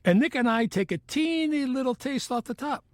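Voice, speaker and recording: A man playing a sly, chummy retired mobster in a comedic audio drama, talking like an older wise guy with a deal to pitch. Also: expressive, normal speed, no echo, studio quality. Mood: sly, persuasive. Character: wheedling old retired mobster, male, pitching shady deals.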